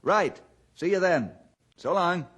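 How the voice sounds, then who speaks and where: Fatherly radio-serial ranger hero: husky, very animated intonation, reflective, folksy delivery. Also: high voice, takes it slowly, exaggerated voice. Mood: thoughtful. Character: steady, thoughtful, fatherly male ranger hero. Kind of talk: old-time radio drama acting.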